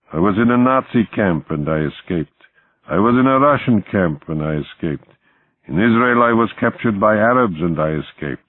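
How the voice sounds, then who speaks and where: A man's voice: fairly low pitch, voice pushed up. A man voicing a bitter, weary camp survivor with a hollow, rhetorical delivery in an audiobook. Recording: muffled, phone-like, roomy audio.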